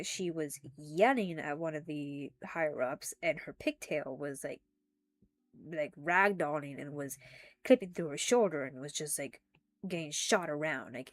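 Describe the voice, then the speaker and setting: A young woman chats her way through a live stream, rambling, restarting her sentences and giggling often. Her voice sits a little low and sounds slightly congested. The sound is dry and close, with no room echo. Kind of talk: casual live stream chat.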